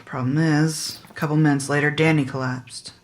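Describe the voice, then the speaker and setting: A woman's voice: deep voice, steady tone, normal speed, lightly acted. A woman playing a blunt, skeptical small-town sheriff with a curt, demanding manner, in a naturalistic audio drama. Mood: grim. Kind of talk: naturalistic audio fiction podcast acting.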